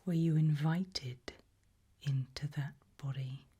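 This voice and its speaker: A British woman playing a composed, probing, ominous interrogator in a whispered, breathy ASMR roleplay, questioning deliberately. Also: sits low, mellow, heavily acted. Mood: eerie, probing. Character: composed, probing female interrogator of possessed people at a sinister institute.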